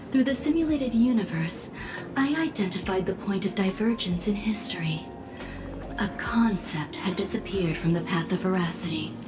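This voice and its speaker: Casting: woman playing probing, secretive scholar for game dialogue, medium register, measured dramatic delivery, music underneath. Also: mid-range voice, close to an everyday voice. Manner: deliberate, enigmatic, dramatic pauses. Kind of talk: video game story dialogue.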